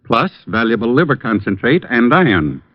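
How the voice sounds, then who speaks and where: A man's voice: medium pitch, big pitch swings, moderate pace, no echo. A man reading a sponsor advertisement as a 1940s radio commercial announcer. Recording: dull, dry old radio recording.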